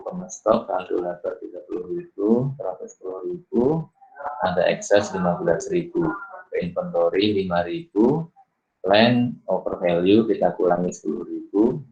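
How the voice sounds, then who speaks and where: A middle-aged man giving a recorded accounting lesson, working through problems step by step at an unhurried pace and often filling pauses with "ya" and "eh". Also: low-pitched. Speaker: accounting lecturer. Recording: dull lo-fi recording.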